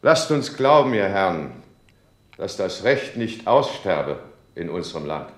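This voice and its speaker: An actor playing a grave, righteous high chancellor in a German radio drama, stately and admonishing. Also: male voice, big pitch swings, a little slow. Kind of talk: German radio drama acting, formal oratory. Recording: roomy studio radio drama recording.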